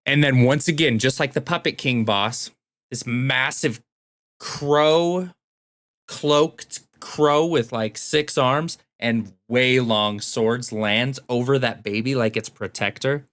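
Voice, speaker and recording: A man's voice: medium pitch, slow pace, a bit bright, no echo. A man co-hosting a casual gaming podcast, telling stories in an informal, enthusiastic way. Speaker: podcast co-host. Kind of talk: casual chat about video games.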